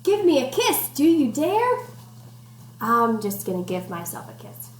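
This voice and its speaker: Slow, sing-song woman reading to children. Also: high voice, very animated. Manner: encouraging, addresses children directly with questions and instructions. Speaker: teacher reading aloud to children. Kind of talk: interactive children's picture-book read-aloud. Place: at home, indoors. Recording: echoey room with faint background noise.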